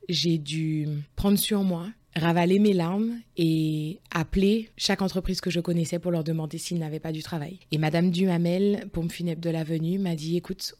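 Female interviewee, low pitch, candid personal storytelling with expressive, emphatic delivery.

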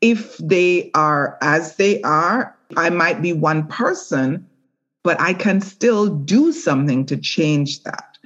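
A middle-aged woman, a former prosecutor and judge, speaking earnestly and in a measured way in an interview. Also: sits low, expressive, fairly bright tone, lo-fi sound. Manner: earnest, measured, with repeated restarts. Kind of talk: reflective interview talk.

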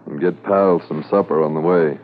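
Police sergeant, low voice, calm command, matter-of-fact, tinny old radio recording. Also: male voice, loud music behind the voice. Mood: calm, businesslike. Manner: brisk, matter-of-fact, authoritative. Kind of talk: 1950s radio drama acting. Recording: narrow lo-fi 1950s radio recording, noise.

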